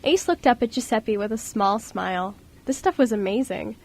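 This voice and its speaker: A woman's voice: on the high side, animated, normal speed. A woman reading scripted storybook narration as the narrator of an amateur radio drama.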